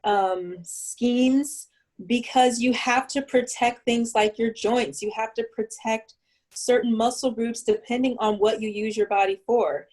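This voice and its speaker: Firm-spoken woman panelist, fairly high. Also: steady tone, measured pace, a little mellow. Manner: firm, emphatic opinions. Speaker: panelist, bodybuilder and dancer. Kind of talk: casual panel discussion.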